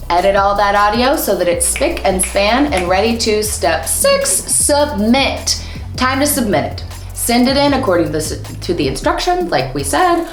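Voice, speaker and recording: A woman's voice: medium pitch, reverberant. A woman in her thirties, a voice actor, hosting an upbeat instructional vlog to camera from a small room at home and gesturing a lot as she talks. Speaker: voice actor and host.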